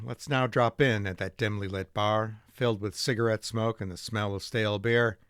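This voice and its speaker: A man hosting a radio drama rebroadcast series, giving a measured, polished scripted introduction. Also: on the low side, clear, resonant tone, dry recording, studio quality. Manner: measured, polished presenter delivery. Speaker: host. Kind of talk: scripted narration.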